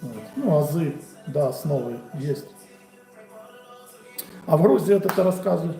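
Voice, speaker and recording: A man's voice: fairly high pitch, lively intonation, normal speed, warm tone, echoey room, soft background music. A man in his forties with a Ukrainian accent, a boxing coach chatting casually with viewers on a home live stream. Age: 40s. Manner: casual, friendly, answers chat questions off the cuff. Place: room at home.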